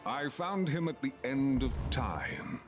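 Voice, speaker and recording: Video game warlord: theatrical, self-satisfied, slow and grand, loud music underneath. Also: male voice, mid-range voice, big pitch swings. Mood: scornful, amused. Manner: pompous, theatrical, slow and deliberate. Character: grandiose conquering male warlord savoring his own might. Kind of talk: video game cutscene acting. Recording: loud orchestral game soundtrack behind.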